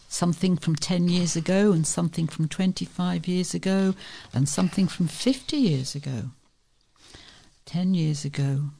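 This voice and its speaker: A woman's voice: fairly low pitch, vocal fry, dark tone. A woman reading scripted local news and features as a volunteer newsreader.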